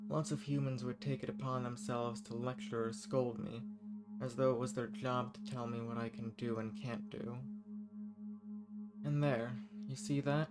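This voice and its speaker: Man playing a smug, flirty ancient villain over loud music. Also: mid-range voice, lightly acted. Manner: smug, formal, teasing, unhurried phrasing. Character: ancient banished villain, male, flirtatious, condescending, softly menacing. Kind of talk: intimate audio roleplay monologue.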